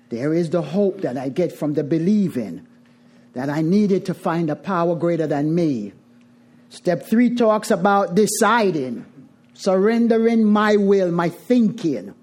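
A middle-aged woman with a Jamaican accent, speaking to a recovery group in a deliberate, emphatic way and repeating phrases for weight. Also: on the low side, warm tone. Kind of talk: twelve-step recovery talk to a group.

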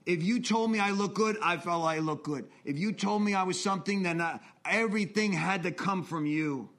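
Earnest man, forties, slightly high voice, testimony-style talk that swings from intense to quiet. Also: normal speed. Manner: earnest, confessional, swings between intense and hushed. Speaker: recovery speaker.

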